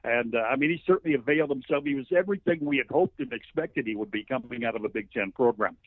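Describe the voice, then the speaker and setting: A male play-by-play broadcaster as an interview guest, giving conversational football analysis in a folksy, halting, emphatic storytelling way. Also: medium pitch, dry recording. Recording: thin narrow phone-like line.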